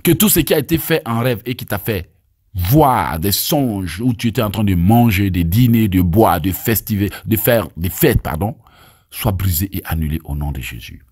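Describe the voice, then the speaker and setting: Man, Ivorian French accent, preacher, husky low voice, emphatic with stammered restarts, phone at arm's length outdoors. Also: expressive, moderate pace, a little mellow. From Côte d'Ivoire. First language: Abé. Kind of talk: deliverance prayer teaching.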